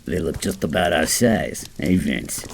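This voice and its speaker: An actor playing a menacing young vagrant thug in an audio drama, gravelly and mock-friendly. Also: male voice, extremely low pitch, expressive, a little slow, slight background noise, heavily acted. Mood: sly menace. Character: menacing young male vagrant thug, sneering ringleader brother.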